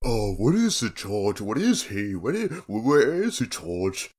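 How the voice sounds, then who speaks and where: A man voicing a slow-witted, befuddled, grateful cartoon character. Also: on the low side, very animated, darkish tone, slightly rough, exaggerated voice.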